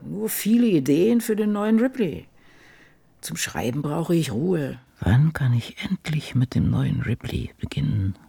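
A woman's voice: low voice, animated, a little slow, creaky, mellow, breathy edge, exaggerated voice. An actress playing a dour novelist in her sixties, musing in a dry, brooding way in a radio play. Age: 60s. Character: dour older woman novelist musing over her life. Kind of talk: radio play monologue, diary reading.

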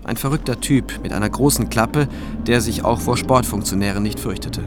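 Actor as measured male narrator over music. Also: mid-range voice, close to an everyday voice. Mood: reflective, dry. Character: forty-year-old cautious male prosecutor telling his own story. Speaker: narrator in a radio thriller. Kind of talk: scripted first-person radio drama narration. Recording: music behind the voice.

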